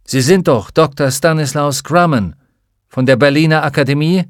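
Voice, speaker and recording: A man's voice: on the high side, moderate pace, dry recording, clean studio sound, lightly acted. A man voicing an eager, courteous Texan balloon pilot. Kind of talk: audiobook character voice.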